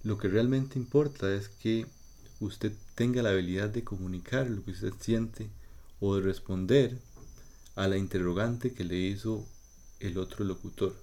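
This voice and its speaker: A man with a Costa Rican accent giving a patient, slow-paced spoken lesson for Spanish learners, talking in short measured phrases. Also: lowish voice, mellow. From Costa Rica. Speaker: podcast host. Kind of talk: slow explanatory monologue for learners.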